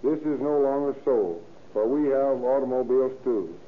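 A man's voice: medium pitch, normal speed. An American man giving a scripted radio address as a guest lawman, reading stiffly and stumbling over words. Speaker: county sheriff, guest speaker. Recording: tinny, hissy 1930s radio recording.

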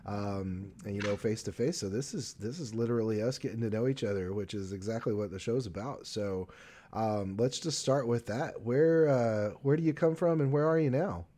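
A man's voice: on the low side, moderate pace, dark tone. An American man hosting a casual interview podcast, talking in a rambling, hesitant way. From Arkansas, United States. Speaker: podcast host.

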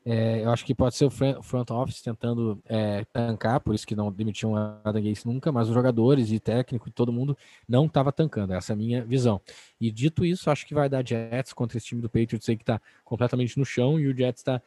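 A man's voice: medium pitch, steady tone, brisk, warm tone, no echo. A Brazilian man debating football casually as a podcast panelist, opinionated and slangy.

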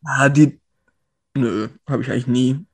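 A man's voice: medium pitch, steady tone, unhurried, creaky, slightly rough, no room sound. A young man co-hosting a podcast, chatting casually with friends in colloquial slang. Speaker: podcast co-host. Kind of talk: casual chat among friends.

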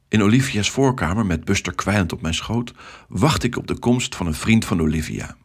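A man reads a children's audio story as its narrator. His voice is low-pitched and a little husky. The delivery is scripted first-person storytelling aimed at young listeners.

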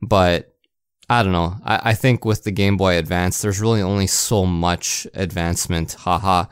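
A man's voice: low voice, steady tone, moderate pace, clear, resonant tone, no room sound, studio quality. A man co-hosting a podcast, casually discussing video games and often checking in as he makes his points. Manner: conversational, punctuates points with rhetorical check-ins. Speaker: podcast host.

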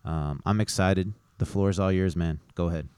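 Upbeat low-voiced podcast host man. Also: moderate pace, a little mellow, smooth, dry recording. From Missouri. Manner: upbeat, chatty, lots of "right, right". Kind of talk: casual podcast hosting. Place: barbershop.

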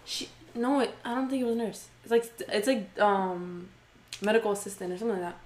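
A woman's voice: medium pitch, fairly even intonation, brisk, darkish tone, a little hiss or hum. Giggly young woman rambling casually. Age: 20s. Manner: giggly, rambling with many fillers. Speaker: podcast co-host. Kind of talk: casual chat.

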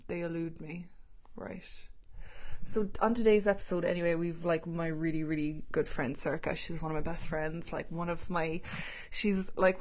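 Woman podcast host, chatty and self-mocking, slightly low pitch, casual rambling delivery, video call mic. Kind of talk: casual chat. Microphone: own mic over a video call.

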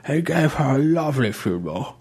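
A man voicing a fussy, sweet-obsessed male bishop who talks in a prissy, gushing way, set back from the mic and higher than his own voice. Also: big pitch swings, brisk, gravelly, a little mellow, husky, exaggerated voice. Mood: fond.

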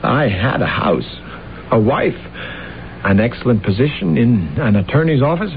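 An actor playing a vain, self-deluded assassin in an old radio drama, speaking formally and hesitantly. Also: male voice, mid-range voice, animated, normal speed, lightly acted. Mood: wistful, rambling. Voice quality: hesitant, stammering. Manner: formal, self-important, courtly. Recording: muffled, noisy old radio broadcast recording.

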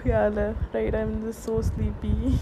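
Hushed, rambling young woman vlogger. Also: on the high side, monotone, a little slow, noisy background. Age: young adult. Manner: hushed, rambling, many fillers and restarts. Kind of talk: late-night casual chat. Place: home at night.

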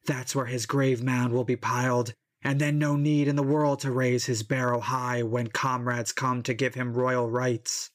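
A man's voice: flat delivery, unhurried, few pauses, close to an everyday voice. A man reading an epic poem aloud, voicing a furious male river god who threatens to drown a warrior. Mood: wrathful. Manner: booming, vengeful threats.

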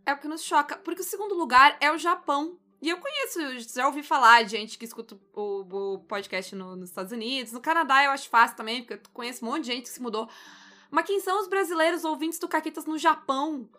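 Woman, podcast co-host, bright, fairly high voice, wry and curious. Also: fast. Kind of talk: casual podcast chat.

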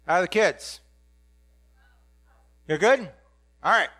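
A blunt, ordinary middle-aged fisherman apostle, played by a man speaks in a rather high voice to a congregation. He rambles and stumbles over restarts in a casual, excitable way. The sound is dry and close. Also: slow pace, no echo, heavily acted. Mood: chatty. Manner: excitable, blurting. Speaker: dramatic first-person preacher. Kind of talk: first-person biblical monologue to a congregation.

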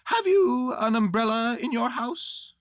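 Woman acting male toy bear, storybook character, high-pitched, earnest schemer, excitable, pompous, dry close sound. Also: a little slow, no echo. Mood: hushed suspicion. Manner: excitable, ponderous, self-important pronouncements.

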